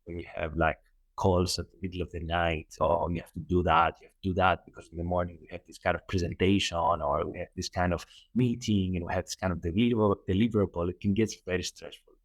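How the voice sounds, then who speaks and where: Middle-aged Greek man, Greek accent, very deep voice, earnest guest who repeats phrases, dry mic. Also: fairly even intonation, fast, no echo. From Greece. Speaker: guest, holistic coach. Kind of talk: interview answers.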